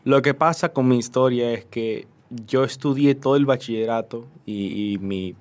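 A man raised partly abroad, an actor answering interview questions in a measured way. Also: medium pitch. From Dominican Republic.

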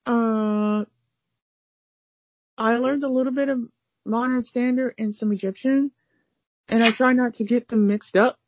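A woman's voice: a little high, steady tone, unhurried, pauses often, no echo, highs cut off. A woman from the United States chatting casually about learning languages, rambling with many fillers and restarts. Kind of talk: casual conversation.

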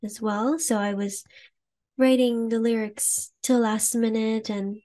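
Soft-spoken young woman with American accent. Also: on the high side, steady tone, a little slow. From Japan. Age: young adult. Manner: soft-spoken, many fillers, laughs often. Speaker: singer guest. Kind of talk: casual interview answers.

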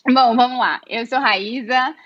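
A woman's voice: a little high, measured pace, no echo. A woman from Minas Gerais with a Mineiro accent, a guest on a podcast, friendly and a little nervous, with many fillers. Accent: Mineiro Brazilian Portuguese. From Minas Gerais, Brazil. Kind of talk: casual interview. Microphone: home office setup. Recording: dull, dry lo-fi audio.

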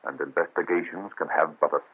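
An actor playing a kind young doctor of the Revolution, earnest and pleading, with a husky voice, in an old radio drama. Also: male voice, a bass voice, fairly even intonation, brisk. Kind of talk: 1930s radio drama acting. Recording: muffled lo-fi old radio transfer.

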